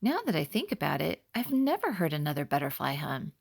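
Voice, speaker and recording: A woman voicing a sensitive, cheerful male butterfly in a children's storybook, earnest and expressive. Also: deep voice, a little fast, vocal fry, husky, heavily acted. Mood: doubtful. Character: cheerful, sensitive young male butterfly who loves humming.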